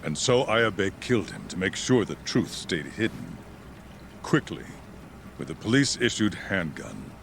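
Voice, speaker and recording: A man playing a scheming chief prosecutor in a video game, formal, deliberate and smugly polite. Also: low voice, big pitch swings, gravelly, a little hiss or hum, heavily acted. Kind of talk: English video game cutscene acting.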